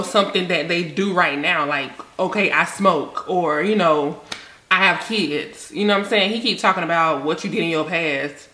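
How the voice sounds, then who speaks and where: A woman's voice: fairly low pitch, sharp tone, echoey room. A woman hosting a reaction video, chatting casually and talking straight to her viewers.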